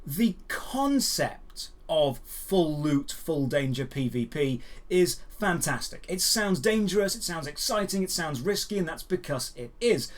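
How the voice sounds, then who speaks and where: A man's voice: fairly high pitch, expressive, a little slow, few pauses. A man hosting a gaming and geek news show, giving dry, opinionated commentary with emphatic phrasing and rhetorical questions.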